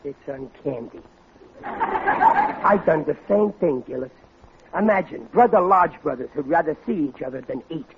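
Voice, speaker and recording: A man's voice: fairly high pitch, wildly swooping pitch, unhurried, vocal fry, long pauses, full character voice. An actor playing a blustering, thickheaded American husband and father who speaks in folksy bad grammar, in 1940s radio sitcom style. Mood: chummy, evasive. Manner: folksy, mangled grammar, indignant. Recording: dull, noisy old radio recording.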